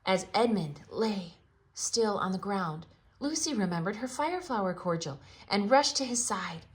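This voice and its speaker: A woman giving a theatrical read-aloud of a children's storybook, expressive and full of dramatic pauses. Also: mid-range voice.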